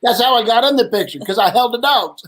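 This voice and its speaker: A man with a notably high voice hosts a casual chat over a video call. He speaks with his guest like an old acquaintance, familiar and lightly teasing. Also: fast, background noise. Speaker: chat show host.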